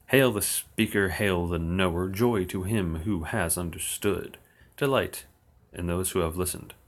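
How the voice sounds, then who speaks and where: A man reciting old verse slowly and steadily on his livestream, with dry asides. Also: deep voice. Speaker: occult streamer. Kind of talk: reading verse aloud on a livestream.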